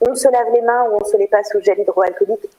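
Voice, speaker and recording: A woman answering radio interview questions in an explanatory way, often pausing as she searches for words. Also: medium pitch, fairly even intonation, dark tone, rough recording. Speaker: theatre programme manager, interview guest.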